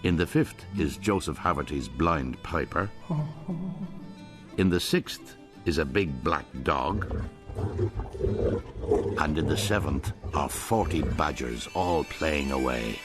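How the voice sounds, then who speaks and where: A man's voice: extremely low pitch, animated, takes it slowly, long pauses, music in the background. A man narrating a radio drama in a wry, storytelling manner.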